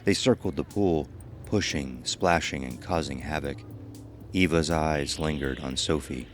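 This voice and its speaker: Very deep male horror narrator. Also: a little slow, faint music behind. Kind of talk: scripted horror story narration.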